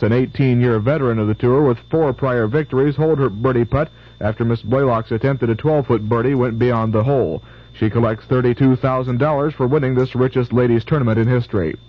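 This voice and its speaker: A man delivers scripted sports and news in an American accent, pitched in the middle range. He sounds like a radio announcer, heard on a muffled, lo-fi old broadcast. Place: radio studio.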